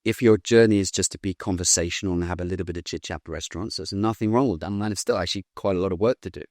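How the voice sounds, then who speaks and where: A British man in his thirties talking in a casual interview, blunt and animated, swearing now and then. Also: sits low, very fast, no room sound, clean studio sound. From Britain. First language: English. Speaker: guest.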